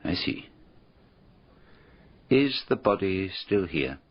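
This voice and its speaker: Radio drama detective, male: courteous, probing foreigner, low husky voice, animated delivery, muffled recording. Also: exaggerated voice. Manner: deliberate questioning, formal foreign phrasing.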